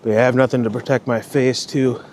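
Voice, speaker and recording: A man's voice: medium pitch, fast, slight background noise. Casual man on a night walk. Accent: slight Minnesotan. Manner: rambling, relaxed, swears casually. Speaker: vlogger. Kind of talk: casual walking vlog.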